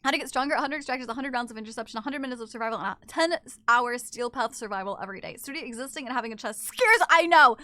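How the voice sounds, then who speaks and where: High, bright-voiced woman game streamer. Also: very fast, hardly any pauses. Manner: animated, sweary, joking. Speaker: livestreamer playing an online game, chatting with viewers. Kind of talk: casual gaming stream chat.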